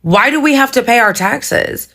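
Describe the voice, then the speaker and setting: A woman's voice: a little high, expressive, normal speed, fairly bright tone. An American woman giving an indignant political rant for social media, blunt and swearing freely.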